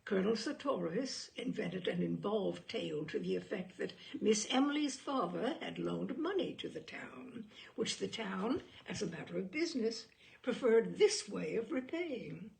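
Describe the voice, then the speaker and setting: A woman narrates literary fiction as a storyteller would, phrasing each clause deliberately and dramatically. Her voice is heavily creaked and gravelly, and its pitch moves freely up and down. She leaves expressive pauses as she reads. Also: rough recording. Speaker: storyteller reading a short story aloud.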